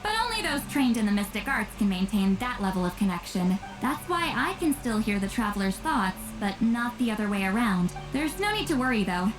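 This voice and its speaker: A woman's voice: mid-range voice, very animated, a little fast, hardly any pauses, lightly acted. A woman voicing an aloof, reclusive, youthful but centuries-old shaman in a video game, dry, reserved and wistful. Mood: dry, sly. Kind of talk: scripted video game voice acting. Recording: roomy echo, game music behind.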